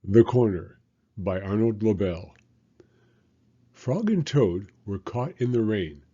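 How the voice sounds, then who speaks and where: A man's voice: on the low side, lively intonation, slow pace, warm tone, dull audio. A man reading a children's storybook aloud as its narrator.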